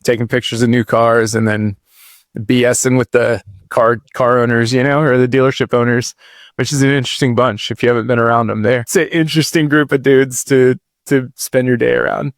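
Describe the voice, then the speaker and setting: Laid-back gravelly-voiced man telling his story. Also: medium pitch, fairly even intonation, brisk, dry recording, studio quality. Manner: laid-back, rambling, says dude and like a lot. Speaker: podcast guest. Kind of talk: casual storytelling interview.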